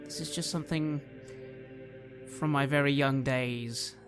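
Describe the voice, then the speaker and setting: A man's voice: medium pitch, fairly even intonation, measured pace, over music. A man narrating his own character's actions as a player in a tabletop roleplaying game.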